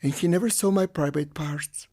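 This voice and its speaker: Mid-pitched voice-over telling a personal story. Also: moderate pace, a little mellow. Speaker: voice-over translator of an interviewee. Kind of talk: dubbed English voice-over of personal testimony.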